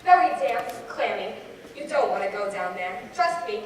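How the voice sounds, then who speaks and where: A woman's voice: mid-range voice, lively intonation, moderate pace, natural voice. A woman playing a reticent, embarrassed old woman in her seventies in a conversational stage monologue, flustered and evasive. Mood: disgusted, dismissive. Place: stage in a large echoing hall. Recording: echoey hall, distant mic, noisy.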